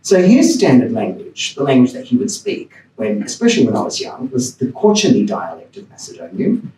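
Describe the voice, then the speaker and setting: A man's voice: medium pitch, dark tone, echoey room, distant mic, a little hiss or hum, rough recording. A man from Australia giving a personal, rambling talk on a panel, with restarts and chuckles. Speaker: panel speaker. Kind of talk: academic talk. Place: lecture room.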